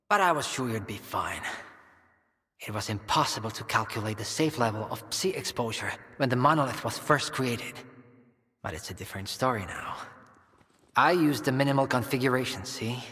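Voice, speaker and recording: A man plays an earnest, persuasive game scientist who reasons in measured, explanatory phrases. His mid-pitched voice carries a long reverb, as if in a large hall. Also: fairly bright tone, lightly acted. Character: earnest male scientist, calm and persuasive, justifying risky experiments. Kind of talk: video game cutscene acting.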